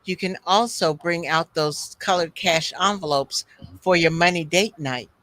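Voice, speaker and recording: A man's voice: a little high, unhurried, pushed and bright, no echo. A man co-hosting a live-stream talk show, explaining tips in an encouraging way. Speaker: co-host.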